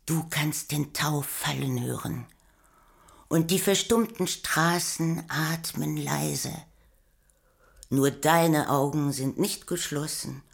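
A woman playing a hushed, dreamy storyteller in a poetic radio play, slipping now and then toward a whisper. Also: sits low, gravelly, breathy edge, heavily acted. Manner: slow, hushed, dropping into whispers. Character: hushed, dreamy female storyteller of a sleeping city quarter. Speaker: narrator.

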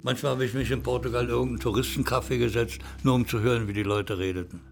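A man's voice: medium pitch, fairly even intonation, quick pace, vocal fry, music in the background. An older German man, a former actor, reminiscing in an interview in a theatrical way, calling the listener darling.

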